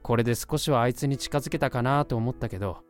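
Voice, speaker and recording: A man's voice: medium pitch, quick pace, soft background music, lightly acted. A young man playing an awkward, self-deprecating, lovesick university student in a voice drama. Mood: wistful, earnest. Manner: hesitant, earnest, blunt. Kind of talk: Japanese voice drama acting with narration.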